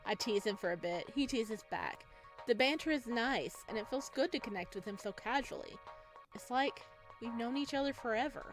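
High-pitched, expressive woman gamer. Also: moderate pace, poor audio. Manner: expressive, playful, dramatic. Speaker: streamer playing a visual novel. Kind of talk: let's play, reading game narration aloud with reactions. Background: game music.

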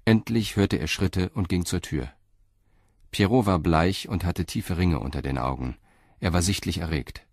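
Deep, measured male narrator. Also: creaky, dry recording, studio quality. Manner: measured, calm storytelling. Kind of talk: scripted audio drama narration.

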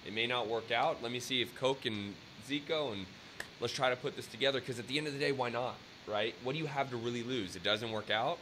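A man in his thirties hosting an interview over webcam, talking in a casual, energetic pep-talk style with swearing. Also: mid-range voice, a little fast. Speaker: interview host. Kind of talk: casual podcast chat. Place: indoor room with brick wall. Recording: faint hiss on video call audio.

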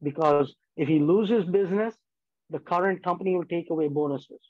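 A man's voice: on the high side, normal speed, no echo. A man in his fifties, an insurance speaker, giving an estate planning lecture to a community group over a video call, gesturing and walking through money examples step by step. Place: small room at home, plain wall behind. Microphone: laptop webcam on a video call. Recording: dry, slightly dull video-call audio.

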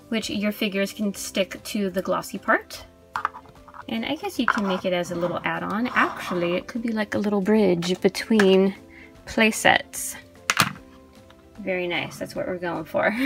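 A woman's voice: medium pitch, a bit bright. Chirpy young woman unboxing toys over music. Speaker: toy unboxing host. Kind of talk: casual unboxing review chat. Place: indoors at a tabletop display. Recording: background music under voice.

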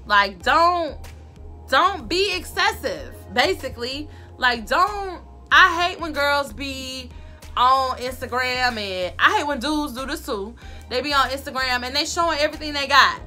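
A woman, giving sassy, emphatic advice to camera in her bedroom, repeating her points, with a loud voice that carries. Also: high voice, expressive, measured pace, soft background music. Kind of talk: casual advice talk to camera. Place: bedroom, indoors.

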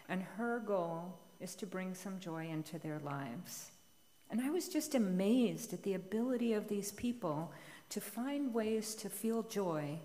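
A woman's voice: medium pitch, a little mellow. A middle-aged woman reading a homily aloud as a letter from a church pulpit. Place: large church sanctuary, at the pulpit. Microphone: gooseneck pulpit microphone. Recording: long hall reverb of church sanctuary.

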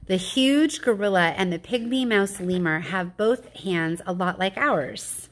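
A woman's voice: medium pitch, measured pace, a little hiss or hum. A woman reading a children's picture book aloud like a teacher, explaining things with informal asides and questions to her listeners.

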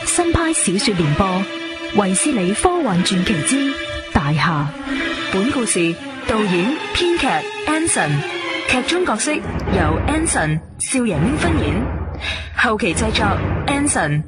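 A woman announces a mystery radio serial. Her delivery is dramatic and varied in pitch, laid over loud music. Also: very animated, a little slow. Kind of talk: scripted promo narration, suspenseful.

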